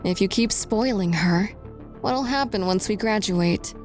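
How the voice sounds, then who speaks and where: A woman plays a sardonic teenage schemer, haughty and languid. She takes her words slowly with a mocking edge, in an amateur comic dub with soft music underneath. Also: mid-range voice, close to an everyday voice. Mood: smug, mocking.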